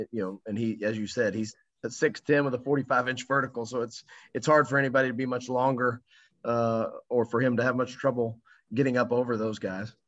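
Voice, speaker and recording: A man's voice: mid-range voice, a bit bright, dull audio. A male reporter asking a long, winding question with restarts on a call. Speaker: sports reporter. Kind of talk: press conference question.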